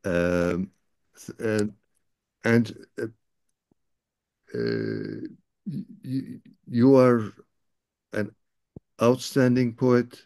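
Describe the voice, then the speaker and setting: Slow, halting, low-voiced male host. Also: animated, creaky, a little mellow, no echo. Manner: halting, stammering hesitations. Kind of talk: hosting an online poetry session.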